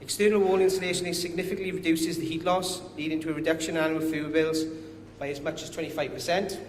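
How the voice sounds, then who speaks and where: Welsh man, conference talk, slightly higher voice, echoey hall, long reverb. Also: little pitch movement, fast talker. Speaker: commercial director giving a conference talk. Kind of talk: conference presentation. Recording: echoey hall with faint noise.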